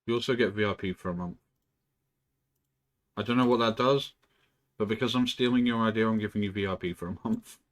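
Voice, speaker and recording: A man streaming from home, chatting casually and rambling, who often clears his throat and swears. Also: fairly low pitch, fairly quick, pauses often. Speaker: live streamer. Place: indoors at home.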